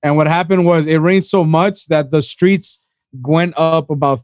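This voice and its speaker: Young guy from miami on a podcast, kinda high voice, says "you know" and "bro" constantly, dullish dry mic. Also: steady tone, measured pace, clear, resonant tone, no room sound, dull audio. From Miami, USA. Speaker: podcast guest, event organizer. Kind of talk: casual storytelling chat.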